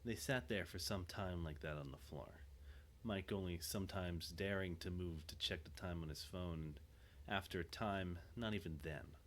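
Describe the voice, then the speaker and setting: A man with a low voice narrates an audio drama. He reads scripted, dramatic prose as the storyteller. There is faint noise behind him. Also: moderate pace, a bit bright.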